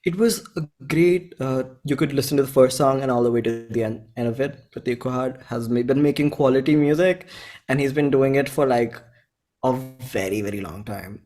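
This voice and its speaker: Casting: Indian man, 20s, indie musician being interviewed; effusive, slangy, informal, mid-pitched voice. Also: moderate pace, dark tone. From Bhopal, India. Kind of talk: casual chat.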